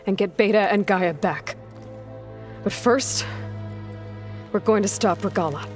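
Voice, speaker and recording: A woman's voice: medium pitch, measured pace, faint music behind, lightly acted. A woman playing a resolute young outcast huntress who leads her companions in a video game cutscene. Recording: dialogue over game score.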